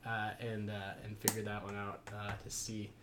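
Bright-voiced man around forty, noisy recording. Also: fairly low pitch, completely flat delivery, moderate pace. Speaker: talk show host. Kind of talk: casual chat with announcements. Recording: noticeable background noise.

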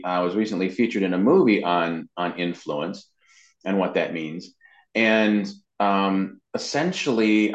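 A man speaking conversationally as an interview guest, explaining social media marketing practice. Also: low voice. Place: indoors. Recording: muffled, band-limited, roomy echo.

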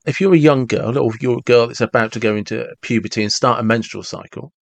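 A man's voice: mid-range voice, quick pace, gravelly, dry recording. A man in his forties hosting a podcast, talking animatedly and often restarting his words as he reasons aloud. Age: 40s. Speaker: podcast host. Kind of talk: casual conversation.

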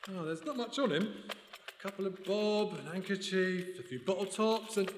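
Echoey hall, young British man, high swooping voice, chirpy, wry, loyal sidekick, radio play. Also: lively intonation, normal speed, noisy background. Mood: cheeky. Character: cheeky, loyal grown son and helper of lady sleuth. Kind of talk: live radio play acting. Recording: echoey hall, live stage.

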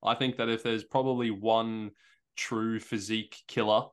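A man's voice: on the low side, level tone, moderate pace, clear, resonant tone. An Australian man on a podcast who jokes cheekily and then explains his view earnestly. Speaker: podcast co-host. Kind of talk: casual podcast chat.

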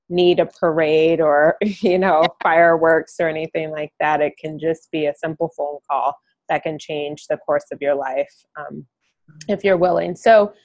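A woman hosting a podcast in a soft-spoken, reflective way, often pausing with "um". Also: deep voice, no room sound. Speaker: podcast host. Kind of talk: casual interview chat.

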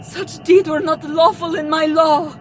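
A woman's voice: very high-pitched, fairly even intonation, unhurried. A woman playing a tormented, tender captive lady in an audio drama, speaking archaic storybook lines with a pleading manner. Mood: anguished. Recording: music behind the voice.

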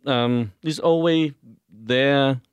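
A man from Vietnam with a Vietnamese accent, a priest answering interview questions haltingly, searching for words. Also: mid-range voice, clear, resonant tone, dry recording. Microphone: studio microphone.

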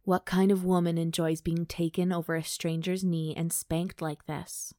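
A woman's voice: on the low side, flat delivery, moderate pace, warm tone, no echo, voice pushed down, lightly acted. Stern dominant woman, voiced by a female reader, hard-toned and taunting, close dry sound.

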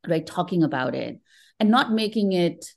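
A woman's voice: lowish voice, animated, normal speed, creaky. A middle-aged woman from Nepal speaking reflectively as a guest in a conversational interview, often checking in with short tag questions. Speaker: podcast guest, tech professional.

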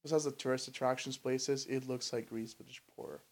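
A young man from Albania chats loosely as a podcast guest. His talk is relaxed and full of "like" and "bro". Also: mid-range voice, brisk. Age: young adult. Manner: loose and chatty, says "bro" and "like" a lot. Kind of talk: casual chat.

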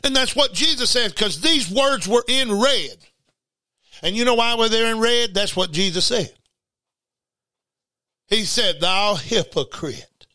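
A man's voice: high voice, lively intonation, measured pace, long pauses, pushed and bright, no echo. An angry man preaching in a confrontational, scolding way, firing off rhetorical questions and repeating his refrains. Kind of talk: fiery informal sermon.

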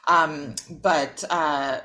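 Low pitched young woman guest, chatty but thinking out loud, lots of ums. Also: fairly even intonation, very slow. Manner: thoughtful, many ums and restarts. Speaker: podcast guest, author and critic. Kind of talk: casual interview conversation.

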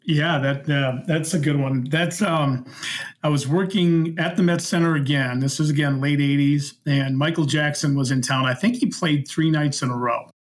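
A middle-aged man with a somewhat higher-pitched voice tells stories as a guest. He is casual and conversational, building each anecdote haltingly, piece by piece. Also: steady tone. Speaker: interview guest, former concert security worker.

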